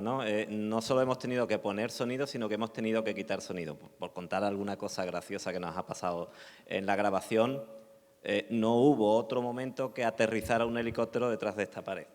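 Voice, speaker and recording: A man's voice: fairly low pitch, brisk. A man, a sound technician, speaking informally and explaining things at a presentation. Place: large indoor hall at a public event. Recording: echoey hall, long reverb.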